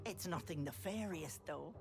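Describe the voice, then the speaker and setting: A woman's voice: low voice, normal speed, gravelly, loud music behind the voice. A woman voicing a sly old hag who poses as a doting auntie in a video game, with an Irish accent and sugary endearments edged with menace. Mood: coaxing, sly. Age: older. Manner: cloying endearments turning to menace. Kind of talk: theatrical video game acting.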